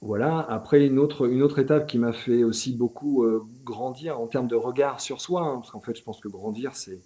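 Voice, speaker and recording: A middle-aged French-speaking man, a guest in an interview, talking in a relaxed, chatty way with frequent restarts and small fillers. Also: mid-range voice, normal speed. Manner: hesitant, many restarts and "tu vois".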